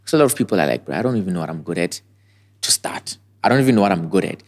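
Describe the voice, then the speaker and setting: Guy hosting a podcast, really deep voice, laid back, asks questions and keeps saying beautiful. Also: lively intonation, very fast. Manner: casual, questioning, affirming with repeated praise. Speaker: podcast host. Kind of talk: podcast interview.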